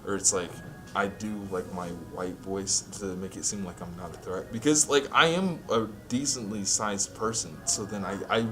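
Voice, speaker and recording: Low-voiced young man over clear music. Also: normal speed, a bit bright. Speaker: interviewee. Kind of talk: casual personal interview talk. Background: clear background music.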